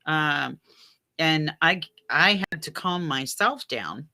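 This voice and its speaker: A woman's voice: deep voice, lively intonation, slow pace, sharp tone. A woman chatting casually about spiritual experiences, telling anecdotes and acting out bits of remembered conversation. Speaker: guest on a spiritual radio show.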